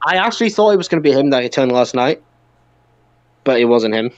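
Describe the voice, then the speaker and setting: A man's voice: medium pitch, very animated, quick pace, no room sound, highs cut off. A man hosting a wrestling podcast, chatting casually and arguing with exclamations.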